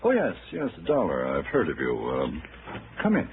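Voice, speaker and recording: An actor playing a polite, flustered doctor in a 1960s radio drama, formal and hesitant in manner. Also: male voice, extremely low pitch, wildly swooping pitch, normal speed, full character voice. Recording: noisy vintage radio, narrow band.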